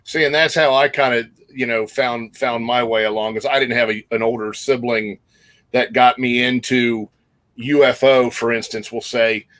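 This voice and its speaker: A man's voice: medium pitch, fairly even intonation, normal speed, fairly bright tone, no echo. Easygoing male host, dry close sound. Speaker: podcast host. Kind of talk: casual interview chat.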